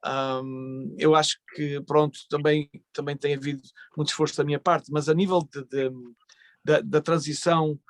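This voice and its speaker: A man in his sixties from Portugal with a European Portuguese accent, a singer answering panel questions in a reflective, halting way. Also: fairly high pitch, little pitch movement, dry recording. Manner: reflective, halting with many fillers and restarts. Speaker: fado singer and panel guest. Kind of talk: online panel interview.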